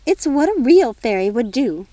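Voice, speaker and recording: A woman's voice: a little high, sing-song, moderate pace, warm tone, no room sound, pitched above the performer's own voice. A woman voicing a fussy, bossy fairy godmother in an audiobook, squealing and chiding as she talks. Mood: bossy, cross. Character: fussy, prim, bossy fairy godmother, easily vexed sister. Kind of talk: audiobook character voice.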